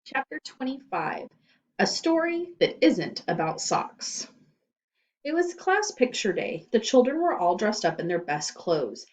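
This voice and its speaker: A woman storyteller reading a children's book aloud in animated scripted narration. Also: on the high side, measured pace, rough recording.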